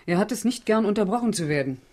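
A woman's voice: mid-range voice, lively intonation, fast talker, a little mellow, close to an everyday voice. A woman acting an obsequious, mercenary middle-aged widow and mother in a German radio play, deferential and plaintive in formal speech.

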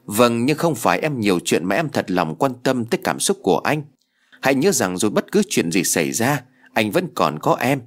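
A man's voice: medium pitch, very animated, moderate pace. A scheming young mistress who talks in a coaxing, sugary way, voiced by a male storyteller. Her lines rise and fall with animation over faint music.

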